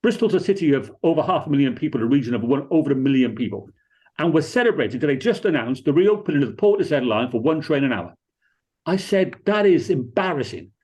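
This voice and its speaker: A man's voice: mid-range voice, a little mellow, husky. A man from Cardiff with a Cardiff accent, speaking plainly and emphatically on a discussion panel. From Wales.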